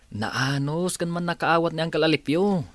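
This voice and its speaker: An actor playing an earnest, hardworking young farm worker in a radio drama. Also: male voice, a little high, normal speed, fairly bright tone, dry recording, lightly acted. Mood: reassuring.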